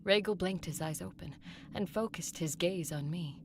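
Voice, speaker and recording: Woman acting a creaky-voiced vampire woman telling her story. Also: lowish voice, moderate pace, natural voice. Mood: tender, awed. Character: ancient vampire woman conqueror, fond and watchful, narrating. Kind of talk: dramatized first-person audio fiction narration. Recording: clearly noisy recording.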